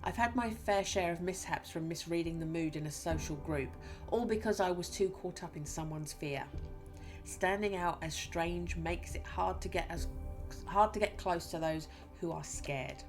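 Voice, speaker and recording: A woman's voice: on the low side, normal speed, faint music behind, natural voice. Woman reading a cold, fear-hungry musician. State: stuffy nose from a cold.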